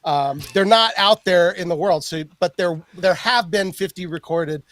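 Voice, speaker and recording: A middle-aged man chats as a podcast host in a slightly higher-than-usual voice. He rambles, restarts sentences and fills gaps with ums and laughs. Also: normal speed, fairly bright tone, no room sound. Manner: rambling, lots of fillers and restarts, laughs often. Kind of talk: casual chat.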